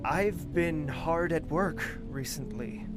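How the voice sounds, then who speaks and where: A man's voice: fairly high pitch, slow. A man playing a grieving, bitter young prince in an audio drama, brooding and intense. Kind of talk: audio drama acting with narration. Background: music.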